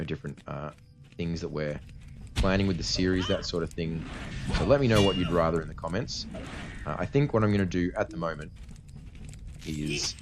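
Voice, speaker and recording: Man, very low voice, tired from a cold, casual gameplay commentary, clear game music behind. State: tired voice after a cold, cutting out at times. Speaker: let's play host.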